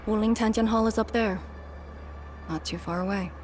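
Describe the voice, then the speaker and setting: A woman voices a focused, dutiful young female operative from a video game. Her delivery is clipped and no-nonsense, at a middle pitch, with prominent music behind her. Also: steady tone, normal speed, close to an everyday voice. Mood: stern, focused. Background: loud music and game sound.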